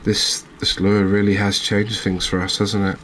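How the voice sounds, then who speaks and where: A man giving relaxed ASMR commentary over a fishing video game, chatting to viewers and often checking in with tag questions. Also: on the low side, moderate pace, a little hiss or hum. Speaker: gaming video host.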